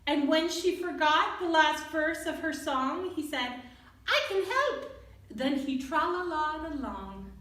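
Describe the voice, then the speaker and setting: High-voiced, unhurried woman storyteller. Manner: expressive, addressing young children. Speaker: storyteller and children's session host. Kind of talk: children's storybook reading and sing-along. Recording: roomy, distant microphone.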